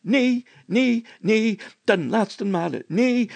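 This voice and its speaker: Man acting out a pompous, high-pitched excitable mayor. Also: gravelly, no room sound, full character voice. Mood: pleading, firm. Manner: exclaiming, stammering, indignant, drawn-out words. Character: pompous, excitable, fussy male small-town mayor.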